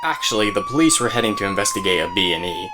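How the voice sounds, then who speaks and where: A man's voice: very high-pitched, steady tone, quick pace, noisy background. A man narrating an audio drama as storyteller, with casual asides to the listener.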